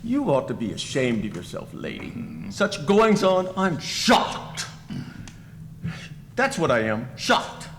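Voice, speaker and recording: A man acts out a smug, scolding detective in a comic stage play. He draws his words out very slowly, with a high-set voice, and repeats himself in mock shock. The recording is roomy and echoey with slight noise. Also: huge pitch swings, exaggerated voice. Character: taller bloke, a smug, scolding detective in bowler hat.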